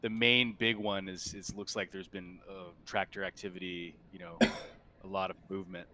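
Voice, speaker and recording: Bright, low-pitched man running a tabletop game. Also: level tone, moderate pace. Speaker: game master. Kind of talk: improvised narration of a tabletop roleplaying game.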